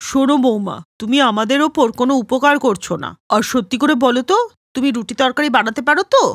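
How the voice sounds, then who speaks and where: A woman voicing a stern, exasperated, matronly older mother-in-law in an animated moral story. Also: high voice, a little fast, no room sound, studio quality, full character voice. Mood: annoyed.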